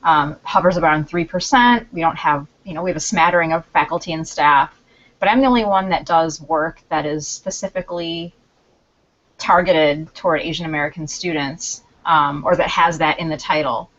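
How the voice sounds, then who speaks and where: A woman who works on college staff talks through her thoughts and often pauses to find her words. Her voice sits quite low. The sound is dull and lo-fi, like an online call. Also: fairly bright tone. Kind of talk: casual video-call discussion.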